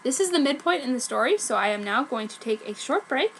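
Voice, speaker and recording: A woman's voice: sits high, animated, a little fast, fairly bright tone, slight background noise, rough recording. A woman reading fan fiction aloud as narrator, with a theatrical, mock-serious melodramatic delivery. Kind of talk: dramatic comedic story reading.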